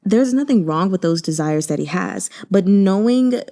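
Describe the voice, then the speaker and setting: A woman hosting a book channel, giving casual commentary in a gushing, expressive manner. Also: medium pitch, moderate pace. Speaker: host of a book reading channel.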